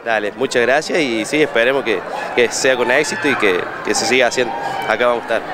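A man's voice: medium pitch, fast, crowd noise, noisy background. A man in his thirties with an Argentine accent, a gym owner interviewed at his fair stand, repeating words and restarting often as he talks into a handheld mic. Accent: Argentine Spanish. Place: indoor expo hall stand. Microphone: handheld reporter mic.

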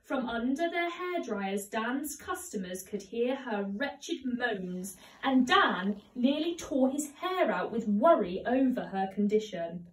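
A young woman, a teacher, reading a children's story aloud in a measured, expressive storytelling manner. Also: on the high side. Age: young adult. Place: home conservatory, indoors. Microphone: camera a few metres away. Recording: roomy echo, distant microphone.

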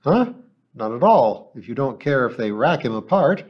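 A man's voice: sing-song, moderate pace, highs cut off, lightly acted. A male audiobook narrator voicing a shrewd, anxious old woman shopkeeper who complains and dodges questions. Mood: alarmed. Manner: shrill, complaining, evasive.

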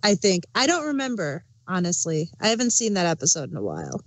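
A woman's voice: fairly low pitch, wildly swooping pitch, quick pace, a little hiss or hum. A woman chatting casually as a panelist on a review podcast. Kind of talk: casual chat.

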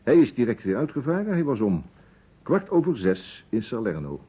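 An actor playing a courteous, precise private detective in a vintage radio play, musing deliberately and dropping in French phrases. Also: male voice, medium pitch, big pitch swings, husky. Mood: methodical. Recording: muffled phone-like old radio recording.

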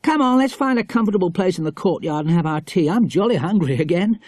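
A man voicing a brisk, inquisitive English schoolboy leader in a storybook, reasoning aloud with emphasis. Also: high voice, expressive, darkish tone, no echo, studio quality, pitched above the performer's own voice.

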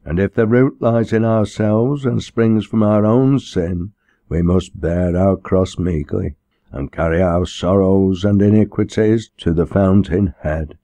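A man's voice: low-pitched, fairly even intonation, a little slow, dark tone, no echo. A man voicing a serene, self-assured parish minister in an audiobook, formal and sermonizing. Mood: pious. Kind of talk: audiobook character dialogue.

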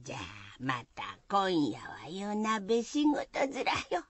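An actress playing a gossipy, helpful village neighbour with drawling rural speech in a radio drama. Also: female voice, mid-range voice, very animated, measured pace, dry recording. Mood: grumbling.

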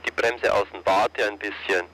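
A man instructing a paraglider pilot over a radio, giving calm, encouraging step-by-step commands. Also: fairly low pitch, normal speed, noisy background. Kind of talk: radio coaching of a pilot in flight.

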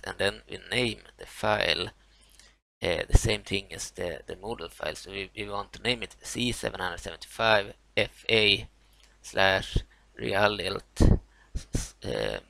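A man with a Swedish accent, a native Swedish speaker, talking through a software tutorial, halting with many fillers and restarts. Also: lowish voice, creaky, dry recording, low-quality recording. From Sweden. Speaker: tutorial maker. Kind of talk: screen-recorded software walkthrough.

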